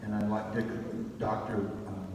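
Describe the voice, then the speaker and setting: A man hosting an awards ceremony, announcing informally in a hesitant, halting way. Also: on the low side, little pitch movement, darkish tone. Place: large awards hall over PA. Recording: very echoey hall, distant mic, faint noise.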